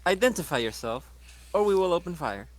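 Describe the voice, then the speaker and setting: A man's voice: high-pitched, sing-song, brisk. A man playing a tabletop role-playing game casually in character, over a slightly noisy recording.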